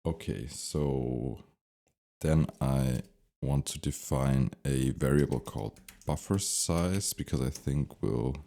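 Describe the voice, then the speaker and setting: Very deep, creaky-voiced man teaching. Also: slow, warm tone. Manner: thinking aloud, step by step. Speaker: tutorial instructor. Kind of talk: unscripted software tutorial narration.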